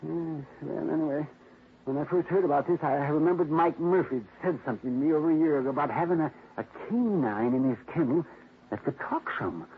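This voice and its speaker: An actor voices a blustering, short-tempered older gentleman in a 1950s radio mystery. His voice sits high and gruff. The old broadcast sound is thin and tinny, with a faint hiss behind it. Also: fast talker, close to an everyday voice. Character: blustering older man, rich dog owner. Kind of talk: 1950s radio drama acting.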